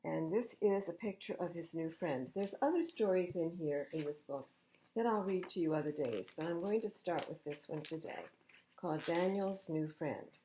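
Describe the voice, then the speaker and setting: An older woman reading children's storybooks aloud as a storytime host, expressive and emphatic, with chatty asides to young listeners. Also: fairly low pitch, normal speed, a little hiss or hum, poor audio. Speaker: library storytime reader.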